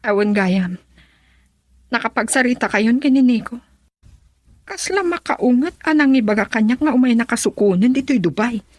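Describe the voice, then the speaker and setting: Radio drama: frank, caring elder sister, high voice, close and dry. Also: female voice, lively intonation, moderate pace, dry recording. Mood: concerned. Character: concerned, bossy elder sister, protective and frank.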